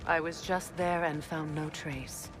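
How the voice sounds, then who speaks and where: An actress playing a wise, resolute mature goddess in a video game, speaking in a calm, earnest, measured way. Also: female voice, deep voice. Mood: calm, matter-of-fact. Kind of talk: video game drama acting. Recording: clear background noise and ambience.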